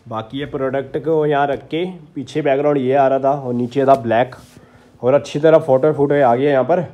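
A man's voice: medium pitch, darkish tone. A young man in his twenties chatting to his phone camera at home as a vlogger, narrating his day, with a slightly sore throat. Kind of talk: casual vlog chat. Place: small room at home. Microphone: phone held at arm's length.

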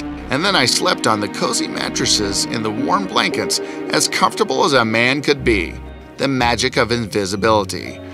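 Man acting an arrogant, swooping invisible scientist. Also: medium pitch. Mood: boastful. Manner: theatrical, boastful, scornful of others. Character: arrogant invisible male scientist, gloating and restless. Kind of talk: dramatic first-person storytelling in a cartoon.

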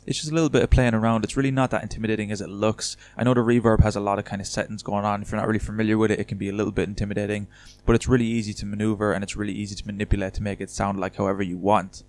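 Fast-talking, low-voiced male tutorial host. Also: little pitch movement, a little mellow, dry recording. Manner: informal, chatty explaining. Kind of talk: casual screen-recorded software tutorial.

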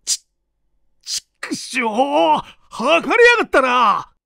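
A man voicing a sneering, menacing villain who taunts roughly in a dramatic story reading. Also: extremely high pitch, expressive, takes it slowly, bright, forceful tone, no room sound, clean studio sound, voice pushed up, full character voice. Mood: enraged. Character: sneering, menacing male villain in disguise as a professor.

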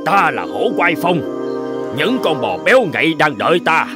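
A man voicing a boastful but cowardly tiger king in an animated folk tale, theatrical and easily panicked. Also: on the high side, normal speed, music in the background. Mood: boastful, greedy.

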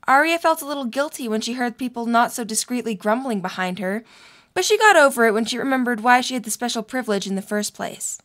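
A woman's voice: a little high, animated, fast talker, a bit bright. A young adult woman reading scripted story narration for an audio drama.

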